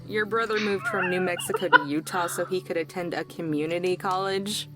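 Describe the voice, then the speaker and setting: A woman's voice: fairly low pitch, steady tone, a little fast, over music, natural voice. An actress playing a dry, guarded, teasing young woman in a visual novel, with a casual sardonic delivery.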